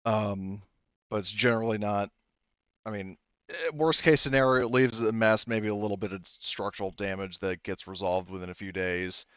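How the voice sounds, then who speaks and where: A man narrating a tabletop roleplaying game as game master, casually improvising and haltingly feeling his way through the details. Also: fairly low pitch, fairly even intonation, fairly bright tone, clean tone, dry recording, highs cut off.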